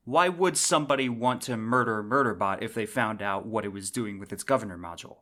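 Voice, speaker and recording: A man with a medium-pitched voice presents a podcast. He delivers semi-scripted literary commentary in an explanatory style. His phrasing stays conversational and slips into casual asides. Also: fairly bright tone. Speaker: podcast host.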